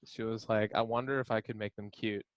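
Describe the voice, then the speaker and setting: A man's voice: fairly low pitch, fairly even intonation, brisk, dry recording, dull audio. Playful guy doing casual panel chat, reads answers out, super dry sound. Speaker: editor reading author's answers.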